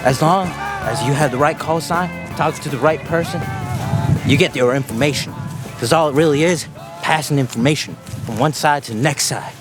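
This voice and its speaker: A man's voice: a little high, normal speed. A man presenting an informal explainer, speaking emphatically in repeated short phrases. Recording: loud music over the voice.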